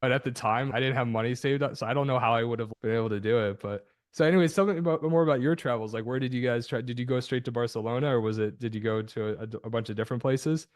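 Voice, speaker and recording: A man's voice: medium pitch, steady tone, quick pace, few pauses, no room sound, studio quality. A man hosting an interview podcast, upbeat and chatty, restarting his sentences often. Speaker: podcast host.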